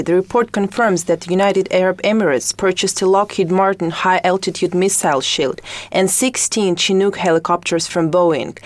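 Low-pitched female news reporter. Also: no room sound.

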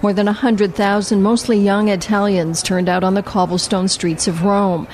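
A woman's voice: medium pitch, flat delivery, normal speed, darkish tone, slight background noise. A woman radio reporter narrating a scripted news report.

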